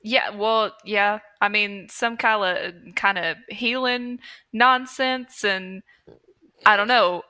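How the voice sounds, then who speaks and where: Bright-voiced woman chatting casually. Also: medium pitch, slow, smooth. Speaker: podcast co-host. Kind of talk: casual film review chat.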